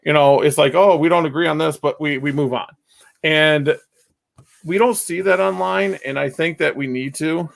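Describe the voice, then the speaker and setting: A man hosting a casual podcast chat, talking loudly and rambling with many fillers, his mic set about two feet away. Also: fairly high pitch, normal speed, creaky. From Wisconsin. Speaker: podcast host.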